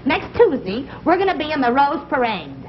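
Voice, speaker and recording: Brash, high-pitched American showgirl, muffled. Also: sing-song, normal speed, a little hiss or hum. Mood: brash, sassy. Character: brash, cheeky women of a frumpy sister singing act. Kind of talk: 1950s TV sketch comedy. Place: theater stage before a live audience. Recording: muffled 1950s TV kinescope, loud audience and music.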